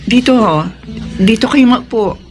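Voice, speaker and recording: High-voiced, earnest devoted mother played by an actress. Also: unhurried, darkish tone, faint music behind, close to an everyday voice. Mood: grateful. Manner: earnest, heartfelt. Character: devout, loving, self-sacrificing single mother, tearful and grateful. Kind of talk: Filipino radio drama acting.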